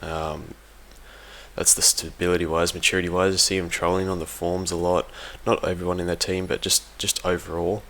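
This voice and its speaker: A man's voice: sits low, little pitch movement, brisk, fairly bright tone, slight background noise. A man giving casual, unscripted commentary on competitive gaming in a hesitant, informal way, often correcting himself. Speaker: competitive gaming team member giving an audio log.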